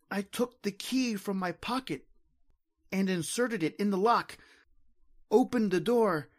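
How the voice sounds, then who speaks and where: A woman playing a desperate, terrified invisible widowed soul, dramatic and halting, in an old-time radio horror monologue. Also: mid-range voice. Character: desperate, terrified invisible widower trapped between life and death.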